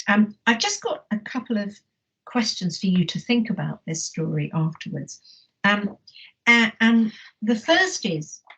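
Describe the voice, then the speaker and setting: A woman's voice: mid-range voice, husky, highs cut off. A Welsh-born middle-aged woman with an English accent, reading a picture book aloud and asking schoolchildren questions in an online lesson. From Wales. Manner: gentle storyteller, asks children questions. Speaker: teacher. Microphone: live online lesson mic.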